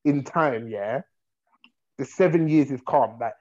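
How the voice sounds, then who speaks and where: A young man, arguing in a slangy, animated way in casual chat, repeating points to make them stick. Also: medium pitch, a little slow, lo-fi sound.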